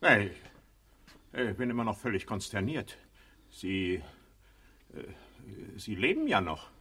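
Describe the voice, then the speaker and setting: A man in a German radio drama playing a flustered American ambassador, gravelly and raspy, stammering and hesitant. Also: on the low side, very animated, takes it slowly, sharp tone, heavily acted.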